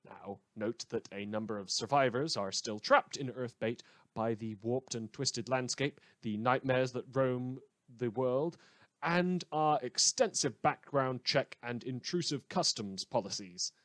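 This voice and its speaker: A man's voice: medium pitch, a little slow, fairly bright tone, no echo, natural voice. A man playing a wry, rambling radio newscaster in a fictional post-apocalyptic news broadcast, speaking with theatrical emphasis and asides. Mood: wry, ominous.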